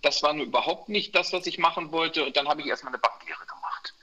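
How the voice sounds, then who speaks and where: A man in his forties from Berlin, a mortgage broker talking in a relaxed interview, chatty with many fillers and restarts. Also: fairly high pitch, fast. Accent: Berlin German. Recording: muffled, lo-fi sound.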